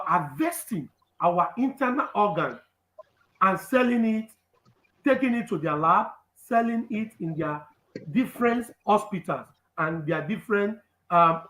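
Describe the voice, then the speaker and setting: Emphatic Nigerian man, bright echoey voice. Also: high voice. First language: Urhobo. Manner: emphatic, repeats phrases, rising to shouts. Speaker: activist speaker. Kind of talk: impassioned live speech.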